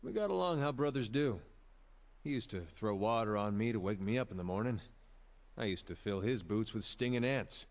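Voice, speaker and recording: A man's voice: fairly low pitch, animated, normal speed. An actor playing a plain-spoken, wry male adventurer, a companion in a fantasy video game. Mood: wry fondness.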